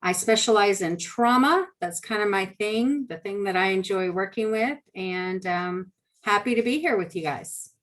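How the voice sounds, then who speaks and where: A woman's voice: medium pitch, normal speed, a bit bright. Friendly woman counselor from Alaska. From Southeast Alaska. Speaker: trauma counselor. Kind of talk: casual video call panel.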